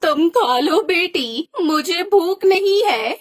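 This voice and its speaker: A woman's voice: very high-pitched, expressive, slow, heavily acted. A woman voicing a sad, loving mother bird in a children's cartoon, tender and subdued. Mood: weak, grieving. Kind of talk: Hindi kids' cartoon voice acting.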